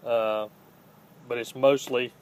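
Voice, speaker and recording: A man giving a casual, unscripted hands-on how-to in a plainspoken, halting way, recorded on a handheld phone. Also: mid-range voice, fairly even intonation. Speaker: host of a scrapping how-to channel. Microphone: handheld phone, filming one-handed. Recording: home phone video with faint background noise.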